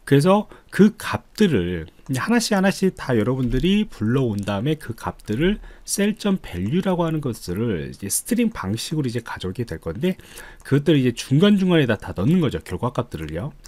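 A man's voice: mid-range voice, big pitch swings, fairly quick, hardly any pauses, darkish tone, no echo. A man giving a screencast coding tutorial as an instructor, explaining politely step by step. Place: indoors, voice-over on screen recording.

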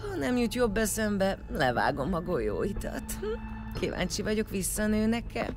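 A woman's voice: medium pitch, very animated, over music, lightly acted. Woman acting a vengeful, swooping huntress. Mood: menacing, mocking. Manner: sarcastic, threatening. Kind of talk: audio drama acting.

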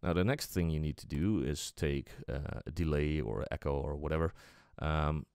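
A man presenting a casual music software tutorial, explaining step by step in an unscripted, halting way. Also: a bass voice, smooth, no room sound, clean studio sound.

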